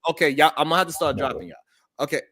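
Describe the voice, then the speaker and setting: A man's voice: a little high, steady tone, racing, bright, forceful tone, no echo, studio quality. A man hosting a live call-in talk show, who keeps breaking in to restore order and speaks bluntly with swearing. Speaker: host.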